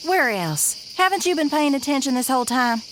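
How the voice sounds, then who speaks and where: A brash, teasing seasoned explorer, voiced by a woman in an audio drama. She is high-pitched and bouncy in intonation, blunt and unfazed. Insects buzz clearly behind her. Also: lively intonation, normal speed. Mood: impatient. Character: brash, breezy seasoned woman expedition camper. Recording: insect-filled jungle noise behind.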